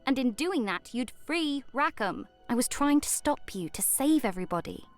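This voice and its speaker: A solemn, earnest cursed woman, with a fairly high voice. She explains with quiet urgency. Faint game music plays underneath. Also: animated, normal speed. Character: cursed woman trapped in a haunted house, grave and earnest. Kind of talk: video game voice acting.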